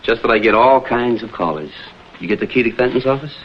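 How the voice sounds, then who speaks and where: Guy playing old-school american detective, deadpan and wisecracking, dull noisy vintage film sound. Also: mid-range voice, fast talker, natural voice. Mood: brisk, guarded. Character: cool, wisecracking male private investigator, guarded and brisk. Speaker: actor playing detective lead and narrator. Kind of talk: vintage American detective drama acting with noir narration.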